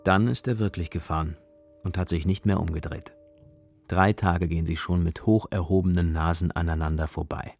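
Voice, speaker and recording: A man's voice: low voice, fairly even intonation, moderate pace, creaky, darkish tone, soft background music. A man narrating a children's radio play as a storyteller, musing in rhetorical questions. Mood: sympathetic. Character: storyteller following a worried girl's adventure.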